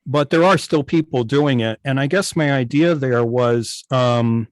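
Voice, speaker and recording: A man explaining his work informally on a video call, in a halting, detailed way. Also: mid-range voice, no room sound. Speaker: guest, radio station operator. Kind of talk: informal explanation in an online club meeting.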